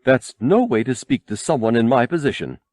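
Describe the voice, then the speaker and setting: A man's voice: medium pitch, very animated, a little fast, darkish tone, dry recording, studio quality, pitched above the performer's own voice, close to an everyday voice. A man voicing a fussy, haughty protocol droid in a prim, formal, pompous manner for an audiobook. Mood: indignant.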